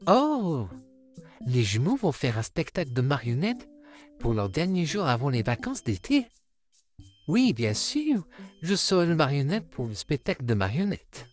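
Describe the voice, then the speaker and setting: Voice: medium pitch, very animated, unhurried, soft background music. A performer voicing a polite, eager, helpful male puppet come to life in a cartoon comic dub.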